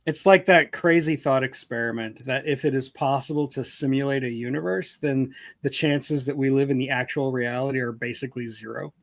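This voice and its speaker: A man's voice: mid-range voice, steady tone, fairly quick, creaky, few pauses, dry recording. A man talking in a casual group chat, thinking aloud earnestly with lots of "like" fillers. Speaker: author and stream regular.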